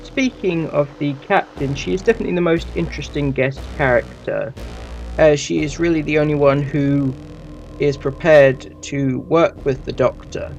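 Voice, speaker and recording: Guy reviewing stuff for his channel, voice on the high side, music under it. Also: normal speed, vocal fry, lo-fi sound. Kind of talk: scripted review narration.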